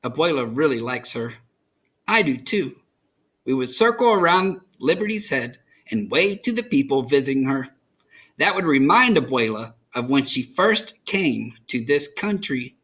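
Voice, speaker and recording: A man reading a children's picture book aloud as an expressive storyteller, enunciating for young listeners. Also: medium pitch, unhurried.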